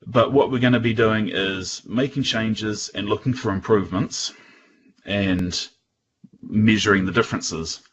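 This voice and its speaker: A man with a New Zealand accent giving an informal technical presentation in a casual, halting way. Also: fairly low pitch, fairly even intonation, a bit bright, a little hiss or hum, low-quality recording. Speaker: guest presenter at a tech user group.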